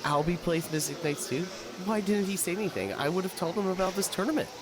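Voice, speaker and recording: Man playing a higher-voiced, excitable gamer friend. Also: fast, a crowd in the background. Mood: warm enthusiasm. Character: enthusiastic, caring gamer shop owner friend. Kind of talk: audio drama dialogue. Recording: noisy background ambience.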